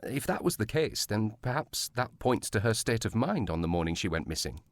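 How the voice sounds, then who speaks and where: A man's voice: fairly low pitch, very animated, moderate pace, no room sound. A man playing a courteous, cautious provincial police sergeant with formal speech in a period audio drama. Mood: tactful, cautious.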